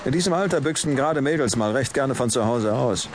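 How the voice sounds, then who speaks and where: A man's voice: mid-range voice, expressive, brisk, slight background noise, close to an everyday voice. A male actor playing a cynical, world-weary middle-aged police detective in a crime audio drama, with a biting, emphatic delivery. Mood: weary, reasoning.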